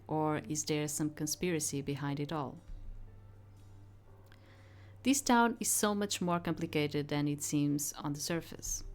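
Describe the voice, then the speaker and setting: A woman reading a visual novel aloud as a let's play, informal, with hesitant casual asides. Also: low voice. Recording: game music behind the voice.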